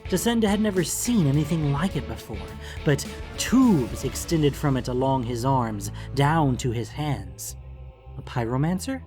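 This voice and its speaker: Man narrating fantasy, mid-pitched, over music. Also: expressive, a little slow. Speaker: audiobook narrator. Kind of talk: scripted dramatic fantasy narration.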